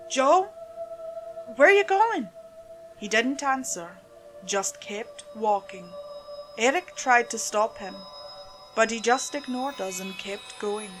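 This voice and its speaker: A woman's voice: mid-range voice, fairly bright tone, poor audio. A woman reading a scripted first-person creepypasta story as narrator. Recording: music behind the voice.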